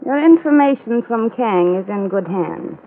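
Cool seductive spy, creaky, vintage radio. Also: female voice, medium pitch, lively intonation, a little slow. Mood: assured, businesslike. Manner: poised, coolly teasing. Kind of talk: 1940s-style radio drama acting. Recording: muffled old radio broadcast, faint noise.

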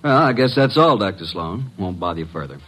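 Casting: breezy detective's assistant, fairly deep, smooth-talking and cheeky, classic radio drama. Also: male voice, animated, a little fast, a bit bright, faint music behind. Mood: breezy, probing. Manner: breezy, casual, glib.

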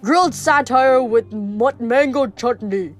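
A woman voicing a huge, dim-witted male Cyclops monster for a storybook, hungry and menacing, bellowing slowly in broken grammar. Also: a little high, faint music behind. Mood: slow puzzlement.

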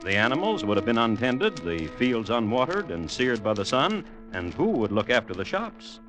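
An actor playing a wry storyteller in a classic radio drama, narrating theatrically with deliberate pauses. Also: male voice, medium pitch, sing-song, fairly bright tone, faint music behind. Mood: dry, explanatory. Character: wry storyteller chronicling a village murder tale.

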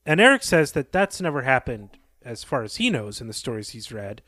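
Male podcast co-host, mid-pitched, recapping fiction with commentary, very dry close sound. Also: big pitch swings, a little fast, sharp tone, no echo.

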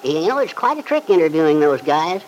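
An actor playing a fussy small-town know-it-all columnist in a classic radio drama, prissy, chatty and self-satisfied. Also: male voice, on the high side, sing-song, a little fast. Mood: flattered. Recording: noisy old radio recording.

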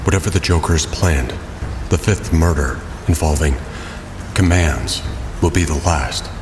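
An actor playing a brooding caped vigilante in an audio drama, speaking tersely. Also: male voice, measured pace, big-room sound, noisy background. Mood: grim. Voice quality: very deep, terse. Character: grim brooding caped vigilante, adult man.

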